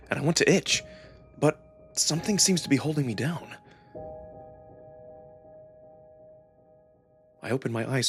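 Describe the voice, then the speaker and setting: A man's voice: medium pitch, fairly bright tone. A man playing a feverish, fearful young man, narrating his nightmares in an intense, emotional first-person style. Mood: uneasy, weary.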